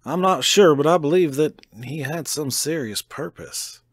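A man reads as a frank, easygoing man talking plainly in a slightly lower voice. The sound is dry and close to the mic. He keeps it relaxed and direct. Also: measured pace, dry recording.

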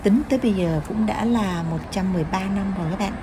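A woman's voice: medium pitch, fast, noisy background. A woman vlogger chatting casually to her viewers as she walks a city harbor with her phone, dropping English words into her talk. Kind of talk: casual walking-tour narration. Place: outdoors by a city harbor, filming while walking.

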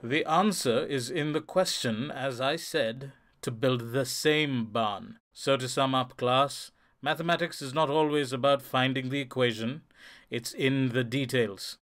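An actor playing a smug, polished criminal professor with a coolly sardonic manner in an audio drama. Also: male voice, medium pitch, measured pace, fairly bright tone, natural voice. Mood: smug, lecturing. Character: suave, smug male criminal mastermind professor.

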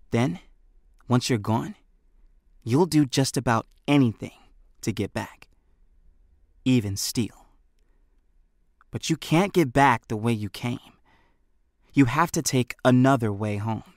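A man's voice: mid-range voice, dry recording. An American man narrating a storybook as an audiobook reader, in scripted style, close on the microphone.

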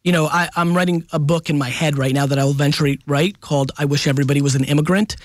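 Forty-ish guy on a radio show, pushy and animated, bit high voice, dry close studio mic. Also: fast, no echo. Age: 40s. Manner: emphatic and animated, keeps asking "right?". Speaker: guest. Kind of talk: casual radio interview. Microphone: studio microphone up close.

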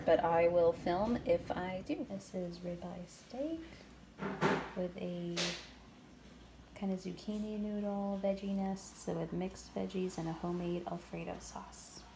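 A woman in her thirties talks casually into a phone camera in her home kitchen. Her voice sits a little low, and she chats in an upbeat, rambling way with plenty of ums and likes. A faint room hum runs underneath. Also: steady tone, unhurried, gravelly. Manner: chatty, upbeat, lots of fillers like "um" and "like". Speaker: keto vlogger. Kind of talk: casual grocery haul vlog.

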